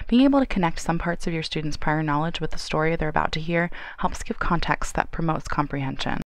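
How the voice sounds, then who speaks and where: A woman reading scripted instructional narration in a clear, explanatory way. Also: low-pitched, fast talker. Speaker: narrator of a training video.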